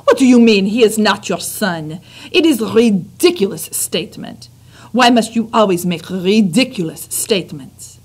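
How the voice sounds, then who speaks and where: A woman's voice: mid-range voice, expressive, normal speed, darkish tone, husky. Woman putting on a haughty French mother mouse. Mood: scornful. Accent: put-on French. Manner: dismissive, mocking.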